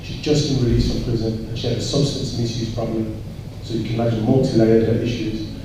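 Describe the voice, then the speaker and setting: A man speaking earnestly and reflectively on a panel, pausing and repeating words as he makes his points. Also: fairly low pitch, level tone. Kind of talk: panel discussion remarks. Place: large echoey indoor event room. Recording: very echoey room, distant mic, noisy.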